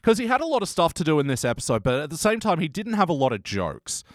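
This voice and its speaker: A man's voice: on the high side, wildly swooping pitch, fast, no room sound, studio quality. A man hosting a podcast, chatting casually and offering his opinions in a conversational way. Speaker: podcast host. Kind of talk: casual episode review chat.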